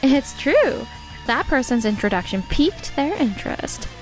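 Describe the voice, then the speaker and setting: A woman's voice: sits high, very animated, moderate pace, faint music behind, poor audio. A woman voicing a poised, encouraging young office supervisor in a manga dub, earnest and frank but kind. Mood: admiring. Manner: composed, earnest, supportive. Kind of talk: anime-style dubbed dialogue.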